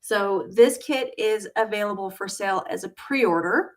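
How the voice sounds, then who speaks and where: A woman's voice: medium pitch, normal speed. A middle-aged woman from Utah hosting a casual livestream from her home studio, chatty and full of ums and uhs. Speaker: quilting channel host.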